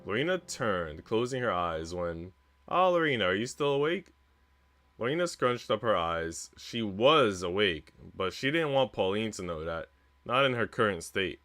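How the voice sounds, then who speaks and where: A man's voice: lowish voice, animated, moderate pace, a bit bright. A man streaming himself reading a visual novel aloud, narrating like a storybook and dropping into casual chat. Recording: music behind the voice. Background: background music.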